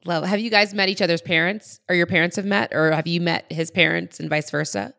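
A woman's voice: fairly low pitch, steady tone, a little fast, vocal fry, sharp tone. A woman hosting a podcast in a casual, chatty interview style, with an upbeat, informal and encouraging manner. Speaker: podcast host and wedding planner.

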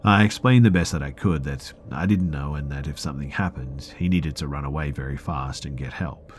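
A man with a deep voice narrating first-person scary stories for a podcast, often dropping to a hush. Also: a little fast, slight background noise. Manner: hushed, slipping into whispers at tense moments.

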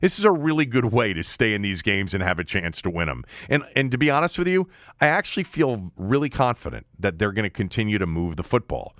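Male sports radio host, lowish voice with big swings in pitch, close dry sound, emphatic conversational analyst. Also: very animated, a little fast, hardly any pauses, a bit bright, no echo. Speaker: sports talk show host.

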